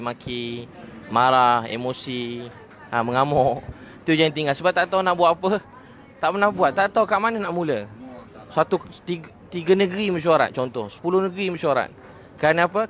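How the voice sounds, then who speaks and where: Fast-talking Malaysian man, informal preacher. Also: on the high side, a bit bright. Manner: conversational, with repeated phrases and tag questions. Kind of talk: informal religious talk.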